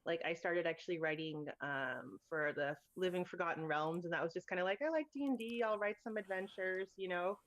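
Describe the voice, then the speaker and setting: A woman from Thailand talks casually as a guest about her path into game design. Her voice sits a bit low and is recorded dry and close. She peppers her speech with "like" and laughs often. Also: fairly quick, hardly any pauses, no room sound. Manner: chatty, lots of "like" and laughs. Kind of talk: casual interview chat.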